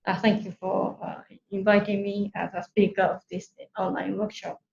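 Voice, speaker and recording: A woman's voice: mid-range voice, flat delivery, normal speed, darkish tone, highs cut off. A woman from Tokyo with a Japanese accent gives an informal online workshop presentation, hesitantly searching for words in her second language. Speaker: workshop speaker. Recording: roomy echo, clear background noise.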